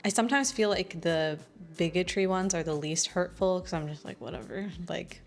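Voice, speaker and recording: A woman in her thirties talks as a guest in a home living room. Her voice sits a little low, and she speaks thoughtfully, weighing her words and hedging as she goes. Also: normal speed. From United States. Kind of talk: casual chat.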